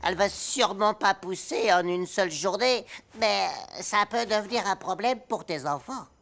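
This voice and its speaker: Woman as an earnest cartoon boy sidekick, mid-pitched. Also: normal speed, fairly bright tone, natural voice. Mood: reassuring. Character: earnest young boy, gullible best friend with ideas. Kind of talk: French animated cartoon acting. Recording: music bed under dialogue.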